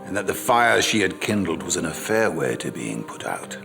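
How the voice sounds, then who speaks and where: A man narrating as a worldly gentleman memoirist, in scripted dramatic style with formal, measured literary phrasing. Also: deep voice, big pitch swings, gravelly, music in the background, exaggerated voice. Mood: detached, confiding. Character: worldly gentleman memoirist recalling his seductions. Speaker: narrator reading a memoir in first person.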